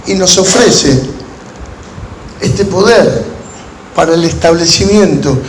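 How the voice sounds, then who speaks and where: A man with an Argentine Spanish accent preaching as a pastor, telling stories in measured, deliberate phrases with pauses. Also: sits high. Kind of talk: sermon to a gathering of pastors, with storytelling. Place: large room at a church conference. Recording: echoey hall with background noise.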